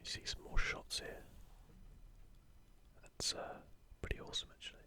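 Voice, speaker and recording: A man whispering softly and breathily in an unhurried, gentle ASMR commentary. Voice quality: soft, breathy unvoiced whisper. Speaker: gaming ASMR video creator. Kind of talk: whispered ASMR, casual commentary with passages read aloud.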